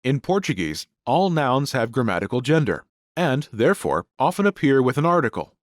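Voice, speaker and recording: An expressive male lesson narrator. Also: medium pitch, moderate pace, no echo, studio quality. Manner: clear, explanatory teacher delivery. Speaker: language course narrator. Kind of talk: scripted grammar lesson narration.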